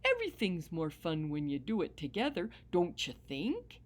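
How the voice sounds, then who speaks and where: A woman voicing a cheerful, chatty female dog in a storybook, in a bubbly soft manner. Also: on the low side, wildly swooping pitch, moderate pace. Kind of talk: storybook character voice.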